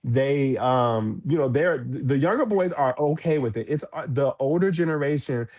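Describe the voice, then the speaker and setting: A man's voice: medium pitch, animated, normal speed, darkish tone. A man in his forties, a guest and drag performer, telling stories in a casual podcast interview with many restarts. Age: 40s. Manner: storytelling, frequent restarts and repeated words. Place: podcast studio.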